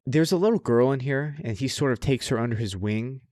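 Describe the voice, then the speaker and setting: A man's voice: mid-range voice, expressive, a little fast, a little mellow, no echo. Man chatting casually, dry close sound. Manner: informal, sweary, repeats phrases for emphasis. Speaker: podcast co-host. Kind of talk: casual chat, recommending anime.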